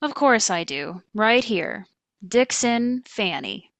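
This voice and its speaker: An actress playing a polite, dryly efficient receptionist at a manor in an audio play, with a measured, courteous manner. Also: female voice, on the high side, lively intonation, a bit bright, no room sound, full character voice. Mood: deferential. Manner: measured, courteous phone-desk delivery.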